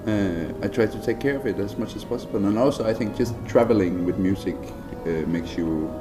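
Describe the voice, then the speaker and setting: A man, a jazz bassist answering interview questions thoughtfully, with lots of hesitations and restarts. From Denmark. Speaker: jazz double bass player, interviewee. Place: concert venue. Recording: music playing underneath. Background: music playing.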